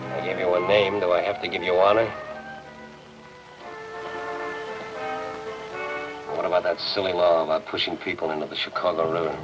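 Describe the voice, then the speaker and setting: A man's voice: deep voice, level tone, quick pace, highs cut off, music in the background, lightly acted. An actor in his forties playing a persistent, world-weary city reporter in a 1950s noir television drama, dry and sardonic. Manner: dry, sardonic, hard-boiled. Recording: noisy 1950s film soundtrack.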